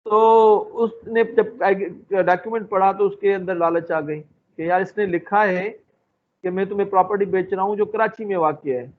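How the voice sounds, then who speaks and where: A man with a Pakistani accent giving an informal, explanatory law lecture in a halting way, working through everyday examples. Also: high-pitched, a little hiss or hum. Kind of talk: informal explanatory lecture mixing in English terms. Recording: muffled, lo-fi phone-like sound.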